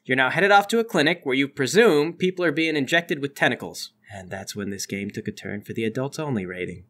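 A man's voice: medium pitch, animated, brisk, a bit bright. A man acting as game master, narrating a tabletop game and bantering with the players.

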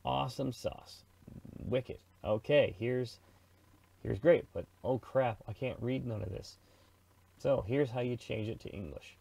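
A man's voice: mid-range voice, a little slow, vocal fry, mellow, slightly rough. A middle-aged man from Canada giving a casual tutorial in a chatty, slangy, informal way, narrating his own thoughts as he goes. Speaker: presenter of a radio software tutorial. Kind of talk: casual screen-recorded tutorial.

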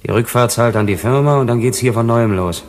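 A man acting in a German radio play as a gruff, distrustful, bitter worker on a space colony. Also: medium pitch, fairly quick, close to an everyday voice. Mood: gruff, cynical. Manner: gruff, grudging. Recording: voice in long hall-like reverb.

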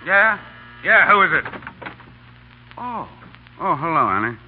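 Brash slangy racketeer, swooping delivery. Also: male voice, a little high, big pitch swings, a little slow, narrow, tinny audio. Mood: brisk, excited. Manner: slangy, tough-talking. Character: brash big-hearted male racketeer known as Dude. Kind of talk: 1930s radio acting. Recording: scratchy, humming 1930s radio broadcast.

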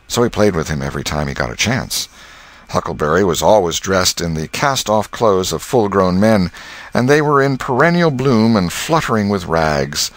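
A man narrates an audiobook in a fairly low voice with very lively intonation. The sound is dry and close, suited to scripted literary storytelling. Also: no room sound.

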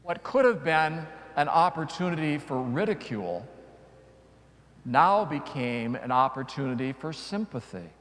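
A middle-aged male priest delivers a homily in a slightly high voice. He speaks very slowly and deliberately, pausing for dramatic effect as he preaches. The long reverb of a large church surrounds his words. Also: lively intonation, a bit bright. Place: large church, before a congregation.

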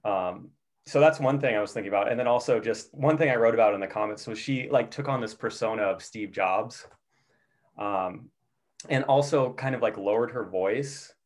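A man's voice: medium pitch, moderate pace. A man answering in a class discussion, hesitant and full of fillers. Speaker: student.

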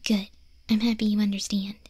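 A woman playing a proud, caring young wolf girl in a soft, breathy whispered ASMR roleplay. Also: mid-range voice, flat delivery, a little fast, no room sound, lightly acted. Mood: cozy, pleased.

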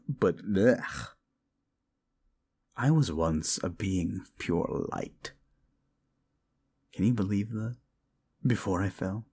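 A smug male demon, acted by a man, mocks his listener in an intimate roleplay drama. His voice sits low and creaks with fry, while his pitch swings widely as he condescends. He is sardonic and exasperated throughout. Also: heavily acted. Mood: haughty, disgusted. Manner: condescending, mocking, drawling exasperation.

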